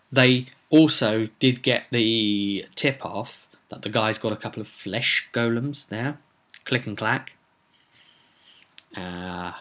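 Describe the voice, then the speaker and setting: A man with a fairly low voice speaks as a game master giving a casual recap. He rambles conversationally and leans on certain words for dramatic weight. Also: a bit bright. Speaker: game master recapping own tabletop roleplay session. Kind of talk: casual vlog recap to viewers.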